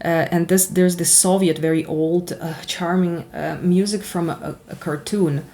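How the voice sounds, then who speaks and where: A Ukrainian-accented woman whose first language is Russian, chatting her way through a tarot reading. Her voice sits a little low, and she rambles freely and giggles often. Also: a little slow. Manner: animated and giggly, rambling, candid. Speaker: tarot reader. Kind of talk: chatty spiritual card reading.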